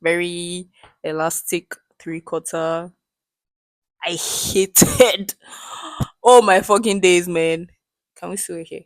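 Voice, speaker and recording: Young woman, lowish voice, animated casual storytelling. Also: takes it slowly, long pauses, dry recording. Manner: animated storyteller, swears freely. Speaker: podcast guest. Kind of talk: casual chat.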